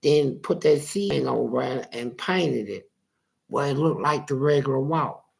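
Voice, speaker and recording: A man with a gravelly voice telling a story casually, in animated, profane street talk and acting out the dialogue. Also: medium pitch, measured pace. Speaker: interviewee telling his life story.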